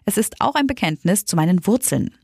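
A woman's voice: mid-range voice, expressive, a little fast, gravelly, darkish tone, breathy edge, dry recording, studio quality. A woman reading tabloid news in an upbeat, scripted delivery. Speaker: newsreader.

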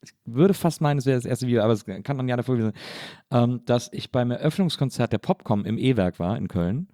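A laid-back man hosting a casual conversation, with an easy laugh. His voice is warm and mellow at a middle pitch, recorded dry and close with no room around it. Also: dry recording. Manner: relaxed, quick to laugh, fan-like. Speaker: interviewer host.

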